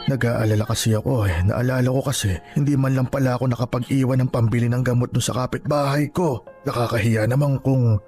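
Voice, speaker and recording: A man's voice: mid-range voice, steady tone, a little fast, a little mellow, soft background music, close to an everyday voice. An actor playing a proud, poor old grandfather who works as a street vendor, in Filipino radio drama style, hesitant and pleading. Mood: sheepish, pleading.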